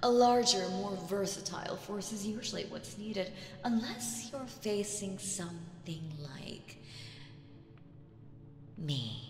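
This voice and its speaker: A woman voicing a proud, mocking demon queen in an ASMR audio roleplay, theatrical and condescending with dramatic pauses. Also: medium pitch, expressive, darkish tone, soft background music. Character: proud, mocking female demon queen, vengeful, regal villain. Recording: roomy with long reverb.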